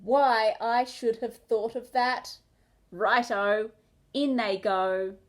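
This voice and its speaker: A greedy dingo cook from a children's storybook, acted out by a woman. He gloats and boasts in a high, sing-song way. His words come slowly, drawn out with glee. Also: steady tone. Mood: gleeful, boastful. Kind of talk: children's picture book character voice.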